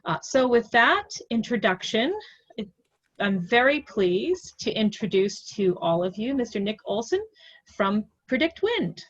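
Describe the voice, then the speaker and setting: A woman's voice: fairly high pitch, very animated, a little slow, dull audio. A woman hosting and introducing a webinar over a video call, making announcements in a chatty way with frequent ums and uhs. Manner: chatty, filler-heavy, friendly announcements. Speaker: webinar host.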